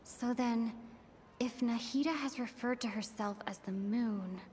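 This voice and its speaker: Game casting: actress as young heroine traveller, fairly high voice, composed, deliberate, slight background noise. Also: female voice, a little mellow, natural voice. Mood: deductive. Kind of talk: video game voice acting.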